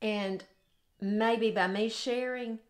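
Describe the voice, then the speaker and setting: An older woman with a Southern US accent, sharing an informal devotional in an earnest, deliberate way. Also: on the high side, fairly even intonation. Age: 60s or older. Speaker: host of a devotional video. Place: home kitchen, indoors.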